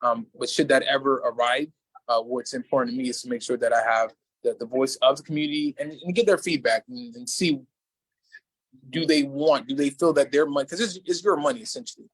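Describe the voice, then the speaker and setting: Earnest candidate with a salesman's pitch. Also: a little fast, husky, dry recording, rough recording. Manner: earnest, repetitive, salesman-like pitch with many restarts. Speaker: mayoral candidate. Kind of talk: candidate interview answers. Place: small business shop. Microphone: livestream video call.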